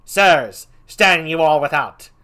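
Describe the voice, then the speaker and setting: A man voicing an enraged young nobleman out for revenge, with a rascally, raspy voice, shouting fiercely. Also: a little high, expressive, takes it slowly, voice pushed up, full character voice. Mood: furious.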